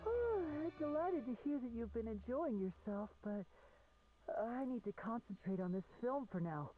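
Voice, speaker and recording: A woman voicing a weary, polite young male stage magician in a video game fan dub, halting and dramatic. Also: a little high, animated, soft background music. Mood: exhausted. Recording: dull audio with clear background noise.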